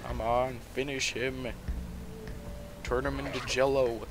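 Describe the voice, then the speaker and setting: A man casually commenting on his own gameplay at home, talking quietly and rambling, with the game's sound underneath. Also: medium pitch, flat delivery, takes it slowly, music in the background. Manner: soft-spoken, rambling. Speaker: gaming channel host.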